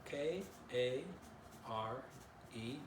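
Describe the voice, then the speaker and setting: A man's voice: mid-range voice, drawn-out words. Middle-aged man, echoey children's storyteller. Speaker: children's author reading and teaching drawing. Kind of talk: storybook narration and informal drawing lesson. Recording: roomy echo, clear background noise.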